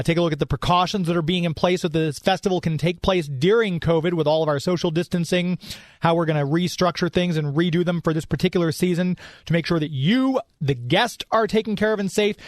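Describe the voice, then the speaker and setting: A man's voice: sits high, dry recording, clean studio sound. A man hosting a radio show in a chatty, informal, off-the-cuff way, moving between casual talk and sponsor reads.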